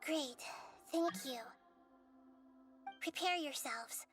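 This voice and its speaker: A woman voicing a gentle, wise young girl deity in a video game, speaking in a measured, articulate, earnest way. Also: sits high, soft background music, exaggerated voice. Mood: calm, friendly. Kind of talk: scripted video game voice acting.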